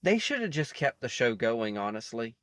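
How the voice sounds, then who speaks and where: A man's voice: medium pitch, big pitch swings, normal speed, fairly bright tone, no echo, studio quality. A man giving casual, rambling reaction commentary as host, often chuckling between asides.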